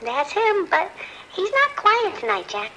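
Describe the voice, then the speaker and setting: Actress as anxious hotel clerk, very high bright voice, kind, hesitant, audio drama, faint noise. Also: female voice, wildly swooping pitch, measured pace, full character voice, low-quality recording. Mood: worried, sympathetic. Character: worried, kind-hearted female hotel desk worker. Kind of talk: naturalistic audio drama acting.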